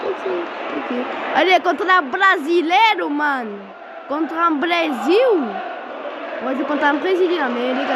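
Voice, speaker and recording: A young man from Portugal commentating his own football quiz game, excitable and prone to shouting and swearing at opponents. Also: very high-pitched, heavy background noise. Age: teens. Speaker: gaming youtuber.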